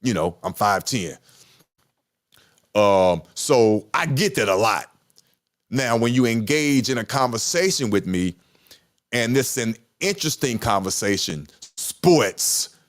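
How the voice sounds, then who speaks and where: A middle-aged man with a deep voice hosting a podcast, talking casually with passion and emphatic repetition. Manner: passionate, emphatic, repeats himself. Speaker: podcast host. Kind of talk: casual monologue.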